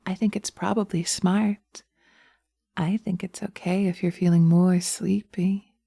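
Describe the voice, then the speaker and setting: A woman's voice: fairly low pitch, little pitch movement, unhurried, gravelly, mellow, slightly rough, dry recording, full character voice. A woman voicing a sweet, seductive witch who soothes and coaxes, partly in whispers, in a hypnosis roleplay audio. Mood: soothing. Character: sweet, coaxing, seductive witch, gently teasing.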